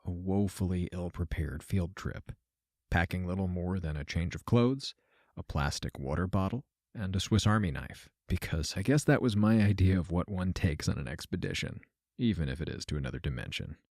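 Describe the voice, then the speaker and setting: A man's voice: sits low, expressive, moderate pace, darkish tone, no echo. A man playing a wry, self-deprecating computer scientist, giving a dry, confessional monologue in an audio drama. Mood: dry, reflective. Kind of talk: first-person fiction podcast monologue.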